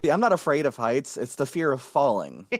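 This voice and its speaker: A man's voice: medium pitch, big pitch swings, brisk, dry recording, studio quality. A man, a VTuber guest, chatting casually in an interview with lots of fillers and restarts. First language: English. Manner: rambling, many fillers and restarts.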